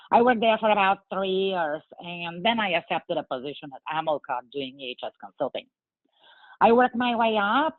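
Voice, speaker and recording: A woman in her forties from Bulgaria, speaking English with a Bulgarian accent, answering interview questions in an earnest, measured way with frequent fillers. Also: on the low side, dry recording. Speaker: guest. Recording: tinny phone-like line.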